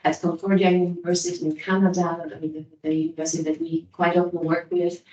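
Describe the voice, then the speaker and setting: A woman hosting an academic lecture, giving an informal introduction in a halting way and often repeating words. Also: on the low side, little pitch movement, mellow, lo-fi sound. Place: room at a hybrid academic event. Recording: echoey room, distant microphone.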